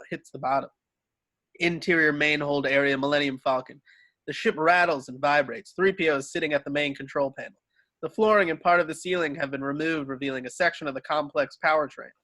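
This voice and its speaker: A man narrates stage directions for an amateur script reading. His voice is fairly high and sharp, reading scene headings and action plainly.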